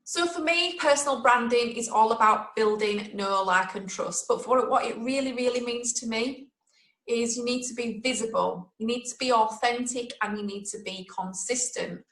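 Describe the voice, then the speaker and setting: A woman's voice: a little high, moderate pace, fairly bright tone, echoey room. A woman with a Yorkshire accent hosting a webinar, talking in a chatty, direct and motivational way. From Yorkshire, England. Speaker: webinar host.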